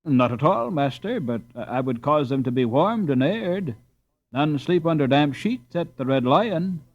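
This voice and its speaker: Storybook innkeeper, voiced by a man: servile, coaxing, lively swooping intonation, slightly muffled recording. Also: fairly high pitch, unhurried. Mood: deferential. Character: fawning, deferential male Dutch innkeeper, coaxing.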